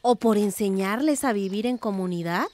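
A woman's voice: medium pitch, normal speed, darkish tone, natural voice. A woman playing a thoughtful, determined middle-aged village aunt in a radio drama, speaking earnestly and reflectively.

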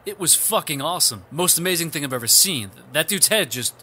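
An actor playing a blunt, excitable police superior in a comedy radio play. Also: male voice, a little high, fairly quick, slight background noise. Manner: enthusiastic, matter-of-fact.

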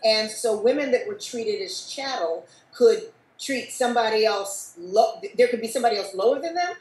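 A woman's voice: fairly high pitch, expressive, moderate pace, a little mellow. An older American woman thinking aloud in a panel discussion, often saying "you know", recorded over a video call. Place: book-lined room at home. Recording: roomy, distant video-call sound.

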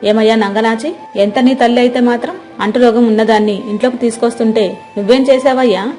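A woman's voice: a little high, steady tone, brisk, dark tone, faint music behind, lightly acted. A woman voicing a shrewish, scheming mother-in-law in a moral storybook tale, dramatic and accusing. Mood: outraged wailing. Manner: wailing, accusing, dramatic exclamations.

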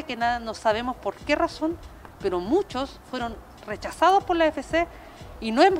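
A middle-aged woman with a Chilean accent, earnest and emphatic, answering a news interview as a small business owner through a face mask. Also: high-pitched. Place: open-sided restaurant terrace by a street. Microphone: handheld reporter microphone. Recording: outdoor street noise with traffic.

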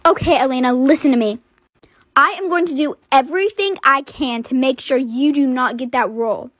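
A woman's voice: high voice, normal speed, fairly bright tone, no room sound. A woman voicing a spiteful, scheming teenage rival who talks in a haughty, threatening way. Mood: menacing.

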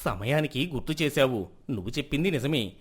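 A man's voice: mid-range voice, lively intonation, brisk, slightly rough. A man playing a proud, status-minded middle-aged merchant husband in a moral story, self-justifying and then conceding. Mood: agreeable.

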